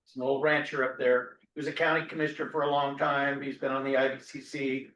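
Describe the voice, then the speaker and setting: A man's voice: medium pitch, level tone, quick pace, fairly bright tone. A man joins a meeting over a video call and speaks plainly and directly. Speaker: meeting participant. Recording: echoey room on a call.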